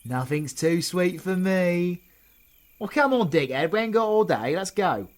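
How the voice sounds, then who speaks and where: A man's voice: sits high, expressive, measured pace. A man with a British accent playing a menacing, cocky supervillain in an audio roleplay, taunting and swearing in slangy speech. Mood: brash, upbeat. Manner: taunting, slangy, sweary, often hissing in whispers. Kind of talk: ASMR audio roleplay acting.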